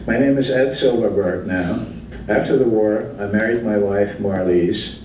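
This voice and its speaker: A man's voice: on the low side, unhurried, darkish tone, lo-fi sound, natural voice. A man acting on stage as a survivor, reciting his lines plainly and steadily. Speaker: stage actor playing a survivor. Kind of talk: staged theatrical narration. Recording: echoey hall from far off, with hiss.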